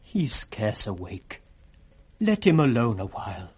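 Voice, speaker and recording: Calm, kindly British physician. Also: male voice, lowish voice, slightly rough, full character voice. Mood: quiet, watchful. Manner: measured, reassuring. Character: calm, kindly physician attending a sick king. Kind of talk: 1960s British radio Shakespeare acting.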